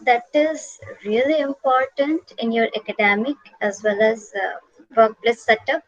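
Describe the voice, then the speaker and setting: A woman's voice: a little high, a little slow, no room sound, dull audio. A woman giving an online class lecture from slides, patient and repetitive, with frequent "uh" fillers.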